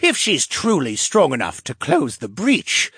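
A man's voice: fairly high pitch, huge pitch swings, a little slow, a bit bright, husky, no room sound, clean studio sound, pitched above the performer's own voice, exaggerated voice. A man voicing a bitter, defiant captive merman fisherman in an audiobook, scornful and muttering. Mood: sneering.

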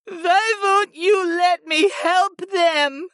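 A tearful young hawk-shifter princess from a fantasy storybook, as a male narrator puts her on, her voice soaring very high above his own and pressing hard with each plea. The sound is close and dry, with no room around it. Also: animated, measured pace, pushed and bright, no room sound, heavily acted. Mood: pleading. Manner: pleading, high and urgent. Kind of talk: audiobook character voice.

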